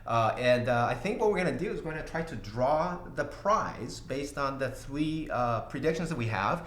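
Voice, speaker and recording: Halting man hosting a livestream Q&A. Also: medium pitch, expressive, a bit bright, reverberant. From Brazil. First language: Portuguese. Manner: halting, many fillers and restarts, laughs easily. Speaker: company CEO hosting an AMA. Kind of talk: casual livestream Q&A. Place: small office studio with glass walls.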